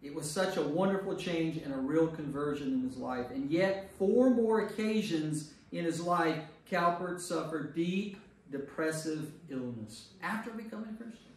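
Guy teaching bible study in a super echoey room, mic far off, kinda high voice, repeats stuff to make the point. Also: lively intonation, normal speed, hardly any pauses, a little mellow. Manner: emphatic, repeats phrases for emphasis. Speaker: priest leading a Bible study. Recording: very echoey room, distant mic, faint noise.

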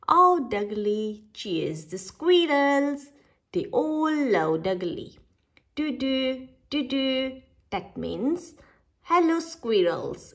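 A woman reading a children's picture book aloud expressively, pausing after short phrases. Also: medium pitch, a bit bright. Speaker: storybook reader.

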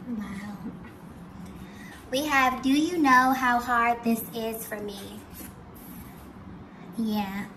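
A woman giving an intuitive tarot reading straight to the listener, earnest, in halting phrases with frequent check-ins. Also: fairly high pitch. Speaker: tarot reader. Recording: roomy, distant mic, clear background noise.

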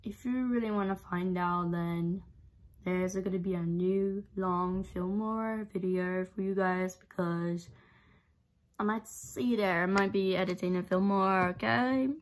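A young woman with a low voice, vlogging to camera in a casual, rambling way that repeats itself, recorded close on a device in her bedroom. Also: fairly even intonation, a little slow. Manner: rambling, repeats herself, earnest. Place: bedroom, indoors. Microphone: device camera at close range.

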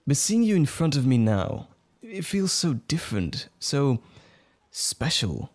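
Man acting as nervous romantic date, lively intonation. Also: medium pitch, slow. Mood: tender, smitten.

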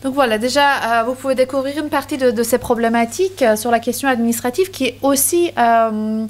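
A woman in her thirties presents at a university panel, her voice sitting a little high. She speaks haltingly, with many fillers and restarts. She talks into a microphone on the table in a lecture hall. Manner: hesitant, frequent fillers and restarts. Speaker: conference speaker. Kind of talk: academic talk with many hesitations. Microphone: table microphone on stand.

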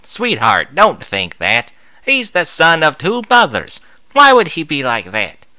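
A man's voice: on the high side, wildly swooping pitch, unhurried, bright, forceful tone, dry recording, voice pushed up, exaggerated voice. A man voicing a folksy, frank farm mare and reassuring wife in a story, blunt but affectionate, with a put-on American Southern accent.

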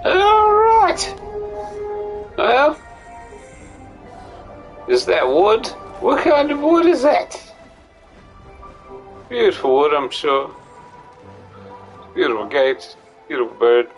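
A man streams a game with casual, rambling commentary. His pitch jumps around a great deal while his pace stays slow. Game music plays faintly behind his whimsical asides. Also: huge pitch swings. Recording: game music behind, slight mic echo. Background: video game music.

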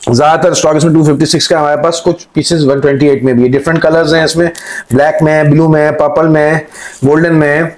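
A middle-aged man with a Pakistani accent giving an informal sales pitch as a phone seller, often repeating filler phrases as he lists things. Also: flat delivery, quick pace, a little mellow. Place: indoor room with display table.

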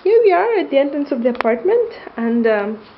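A woman in her thirties, walking a camera through a small loft flat and describing it with frequent ums and hesitations. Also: high-pitched, a little fast, dull audio, a little hiss or hum. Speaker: property tour presenter. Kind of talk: walkthrough tour narration. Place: small loft studio apartment, indoors. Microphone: camera mic, handheld camera.

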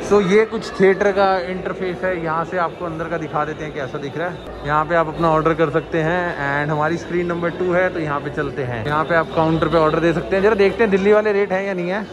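A man's voice: a little high, steady tone, fast talker, few pauses, crowd noise. A young man, chatting casually through a mall tour vlog with a phone held at arm's length. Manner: chatty, showing things to camera as he walks. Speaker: vlogger. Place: large indoor shopping mall.

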